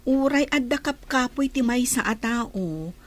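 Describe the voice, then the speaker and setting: Woman acting a high-voiced hopeful young student. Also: animated, a little slow. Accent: Ilocano. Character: hopeful young female working student, dutiful daughter. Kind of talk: radio drama acting.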